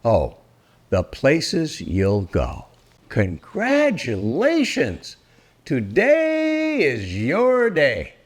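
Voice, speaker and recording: An older man performing a children's story aloud in a theatrical, deliberate, welcoming manner. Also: mid-range voice. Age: 60s or older. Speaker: storyteller and host.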